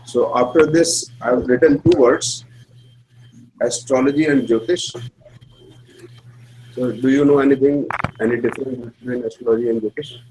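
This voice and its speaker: A man's voice: mid-range voice, steady tone, moderate pace, pauses often, darkish tone, a little hiss or hum, low-quality recording. A man with an Indian accent, a native Hindi speaker, teaches an astrology class in simple English and often checks in with "right?". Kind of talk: classroom lecture.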